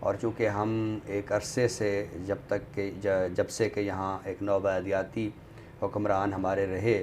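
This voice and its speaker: A man with a Pakistani accent, speaking as an expert guest on a literary talk show in a measured, explanatory way. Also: lowish voice, little pitch movement, a little mellow, a little hiss or hum. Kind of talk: conversational commentary on a talk show.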